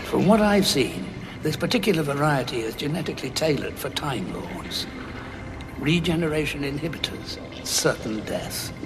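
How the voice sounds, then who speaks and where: An actor playing a grim, war-weary Time Lord with a gravelly voice, deliberate and dryly sardonic, in an audio drama. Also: male voice, fairly high pitch, expressive, background noise. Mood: urgent, wry. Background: background music.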